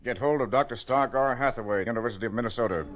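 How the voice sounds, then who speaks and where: A man's voice: medium pitch, rapid-fire, dull audio, lightly acted. An actor playing a grave, authoritative male chief of police in an old radio drama. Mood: grave, direct. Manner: direct, authoritative.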